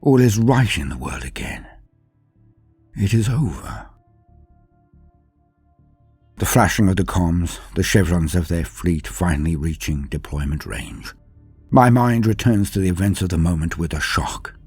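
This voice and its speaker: A man narrating a dramatic first-person war story as a grim, brooding space marine commander, grave and deliberate, with theatrical pauses. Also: low-pitched, expressive, a little mellow, breathy edge, dry recording, faint music behind. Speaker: lore channel narrator.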